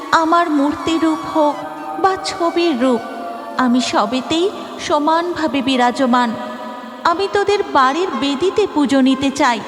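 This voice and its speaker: A woman's voice: sits high, voice pushed up. A woman voicing a serene, commanding goddess in a cartoon dream, solemn, in long reverb.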